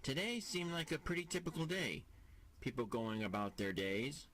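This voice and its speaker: A man narrating an audio drama from a script in a deliberate, dramatic storytelling manner. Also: medium pitch, sing-song, fairly bright tone.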